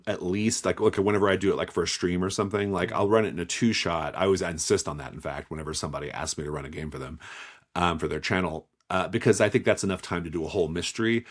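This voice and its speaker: A man speaking as an interview guest and game designer, explaining things step by step in a conversational way and often checking in as he goes. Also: deep voice.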